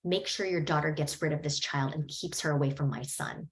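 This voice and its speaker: Lady reading as a harsh uptight dad in his forties, lowish voice, cold and bossy. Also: fairly even intonation, a little fast. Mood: harsh, commanding. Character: uptight stern father in his forties, domineering.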